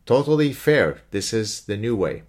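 Low-voiced man doing a mocking monologue. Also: sing-song, moderate pace, a little mellow. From Canada. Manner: mocking, sings lyric snippets off-key. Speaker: commentator hosting his own channel. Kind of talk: casual satirical monologue.

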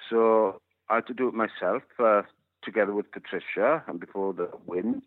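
A man's voice: lowish voice, moderate pace, no echo. A Welsh man in his fifties, a musician whose first language is Welsh, speaking unhurriedly with many you knows and uhs. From West Wales. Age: 50s. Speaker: musician guest. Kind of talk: interview over a phone line. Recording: dry, tinny phone line.

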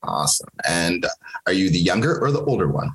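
A young man with a very low, deep voice hosts a conversation. He grew up in Kenya speaking Swahili first. He talks in a loose, friendly way, often pausing with fillers and restarting his sentences. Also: low-quality recording. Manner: relaxed and chatty, with many fillers and restarts. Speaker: podcast host. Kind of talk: conversational interview.

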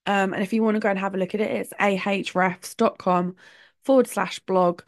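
A woman's voice: mid-range voice, fairly even intonation, fairly quick, no room sound, clean studio sound. A woman hosting a solo podcast, talking in a chatty, opinionated way. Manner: chatty, opinionated, laughs between points. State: sore throat. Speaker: podcast host.

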